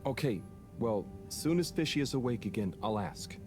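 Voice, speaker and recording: A man's voice: mid-range voice, lively intonation, normal speed, over music, lightly acted. An actor playing a laid-back, agreeable young male assistant.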